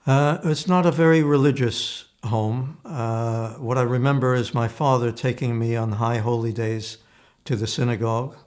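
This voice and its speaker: A man in his eighties, born in Slovakia with Slovak as his first language, giving oral history testimony in a measured, thoughtful way with frequent restarts. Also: mid-range voice, fairly even intonation, darkish tone. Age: 80s. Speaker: interviewee.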